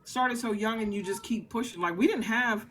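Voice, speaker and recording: A woman's voice: fairly high pitch, normal speed, fairly bright tone, slight background noise. A woman in her thirties, a guest in an interview, talking at length in an earnest, rambling way. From Louisiana family. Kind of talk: casual interview chat.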